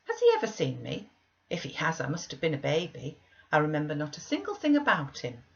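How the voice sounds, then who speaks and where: A woman's voice: deep voice, wildly swooping pitch, a little fast, vocal fry, muffled recording, heavily acted. A woman voicing a frail, anxious, questioning young boy in an audiobook reading of a classic novel. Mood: curious, wondering.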